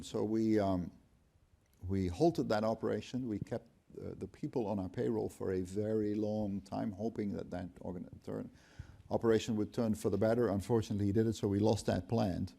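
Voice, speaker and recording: A middle-aged man, a company finance chief speaking on an auditorium stage, explaining things in a measured way with dry humor and frequent ums. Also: fairly low pitch, gravelly, warm tone. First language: Dutch. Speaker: company CFO, guest speaker. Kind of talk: onstage conversation. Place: auditorium stage before an audience.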